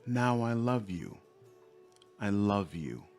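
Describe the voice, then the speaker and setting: A man voicing a reformed female demon from a fantasy game, earnest, gentle and measured. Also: lowish voice, lively intonation, soft background music. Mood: tender.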